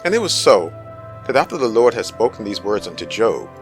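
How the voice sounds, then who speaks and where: A man with a fairly low voice narrates scripture as solemn drama. His phrasing is deliberate, in the way of a storyteller. Music plays prominently beneath him. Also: big pitch swings.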